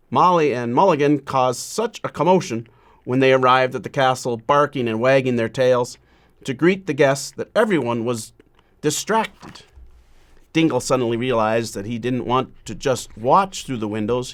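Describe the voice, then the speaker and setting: A man with a medium-pitched voice reads a children's storybook aloud. He phrases deliberately and leaves frequent pauses, in the manner of a measured story-time reading.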